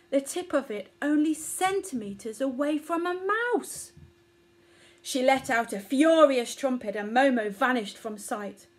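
A woman in her thirties narrating a children's story expressively. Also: fairly high pitch. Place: small living room or bedroom, indoors.

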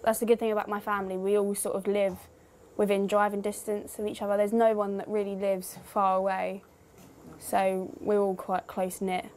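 A young woman speaks softly and evenly about her family. Her voice barely rises or falls. A faint hiss from outdoors runs under it. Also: mid-range voice, little pitch movement, moderate pace. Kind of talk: casual documentary interview.

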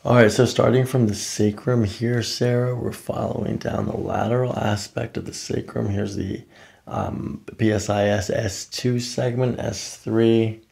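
A man's voice: fairly low pitch, creaky, mellow. A middle-aged man working as a chiropractor, talking a patient through a hands-on exam in short clinical questions and instructions. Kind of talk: hands-on patient examination talk. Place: small carpeted treatment room, indoors.

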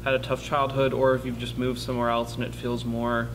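Monotone teenage boy. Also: mid-range voice, poor audio. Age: teens. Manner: quick, monotone explaining. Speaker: interviewee. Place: indoor studio, plain wall. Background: music.

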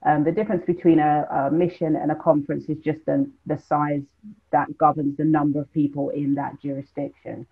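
A woman's voice: low-pitched, little pitch movement, husky. A woman from London, a cooking show presenter, telling her story in detail as an interview guest over a video call. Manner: measured, explains in detail. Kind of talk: conversational storytelling. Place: home room, video call. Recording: thin phone-like video call audio.

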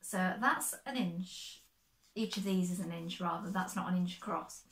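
A woman in her fifties, slightly croaky, chatting step by step through her sewing projects in a bright sewing room. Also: lowish voice, normal speed, echoey room. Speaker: sewing vlogger. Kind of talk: casual show-and-tell.

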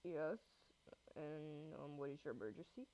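Casting: woman as bumbling male cop, deepened voice, blunt, hesitant with many ums, amateur drama, dull lo-fi recording. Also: normal speed, dark tone, natural voice. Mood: flustered, calming. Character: awkward male police officer and dispatcher. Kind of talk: amateur improvised roleplay drama. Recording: muffled lo-fi audio.

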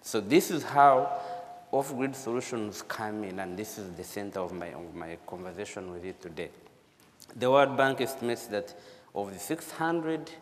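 A man's voice: fairly low pitch, moderate pace. A man with a Ugandan accent giving a formal conference presentation, repeating words for emphasis. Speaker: conference speaker, energy specialist. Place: large auditorium stage. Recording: echoey hall, noticeable background noise.

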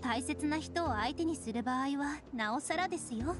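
A woman playing a polite, gentle young advisor in a Japanese anime game, formal and courteous. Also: sits high, normal speed, over music, lightly acted. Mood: gentle, advising.

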